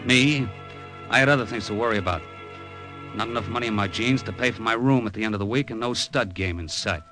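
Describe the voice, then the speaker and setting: An actor playing a cynical American gambler in a vintage radio drama, wry and slangy, with an American accent. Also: male voice, on the low side, fast, over music, lightly acted. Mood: hard-boiled narration. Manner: wry, slangy, hard-boiled. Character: cynical, broke American gambler abroad, middle-aged. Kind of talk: 1950s radio drama acting and noir narration.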